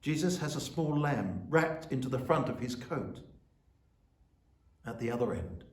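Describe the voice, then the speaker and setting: Male church officiant, mid-pitched, deliberate and measured sermon delivery, noticeably roomy sound. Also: animated. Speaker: church officiant giving a reflection. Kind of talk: sermon with scripture reading and prayer.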